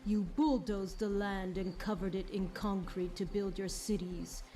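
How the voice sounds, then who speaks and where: A woman's voice: mid-range voice, fairly even intonation, unhurried, dark tone, natural voice. A woman playing an imperious, vengeful tree spirit in a possessed pony's body, grandiose and accusing, in an audio drama. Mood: accusing, scornful. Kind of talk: audio drama villain monologue.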